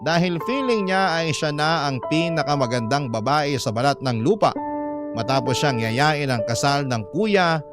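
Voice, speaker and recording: A man's voice: a little high, normal speed, music in the background. A man with a Filipino accent dramatically reading a listener's letter in the first person as a radio drama narrator.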